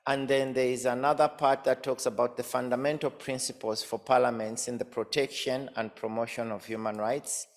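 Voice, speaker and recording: A man's voice: medium pitch, flat delivery, normal speed, few pauses. A man from Zambia presenting as an academic, hesitant with many fillers and repeated words. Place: conference hall. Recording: reverberant conference hall, off-mic at times.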